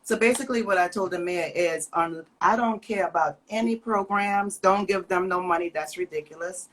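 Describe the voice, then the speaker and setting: A woman's voice: lowish voice, normal speed, rough recording. A middle-aged woman with a Trinidadian accent, speaking bluntly and with frustration in a casual livestream chat over a video call. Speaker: cannabis business owner and co-host.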